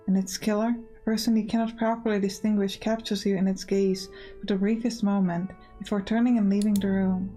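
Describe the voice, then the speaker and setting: A woman's voice: mid-range voice, warm tone. A woman hosting a gaming let's play, chatting and reading game text aloud. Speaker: gaming channel host.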